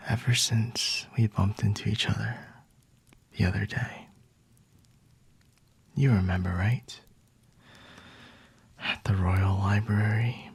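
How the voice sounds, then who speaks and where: Male actor as aloof, seductive vampire for ASMR roleplay: very breathy, unhurried, dry close-mic whisper, intimate and teasing. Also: lowish voice, dry recording, full character voice. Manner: slow, hushed, haughty and seductive, with lingering pauses. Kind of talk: ASMR roleplay, scripted monologue to the listener. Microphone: close-up microphone.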